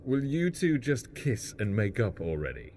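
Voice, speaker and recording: A man's voice: medium pitch, very animated, normal speed, background noise. A man playing a guarded, blunt travelling companion in a sci-fi audio drama. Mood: exasperated. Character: guarded, plain-spoken male travelling companion.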